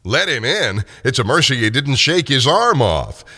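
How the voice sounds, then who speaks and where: A man's voice: medium pitch, sing-song, normal speed, sharp tone. An older man narrating a classic tale as a dramatic storyteller.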